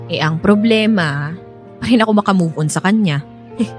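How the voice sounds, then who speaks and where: A woman's voice: on the low side, moderate pace, music in the background, lightly acted. A Filipino woman playing a lovelorn, conflicted schoolteacher in a radio drama. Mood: heartbroken. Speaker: voice actor and narrator in a radio drama. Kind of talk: Filipino radio drama acting and first-person narration.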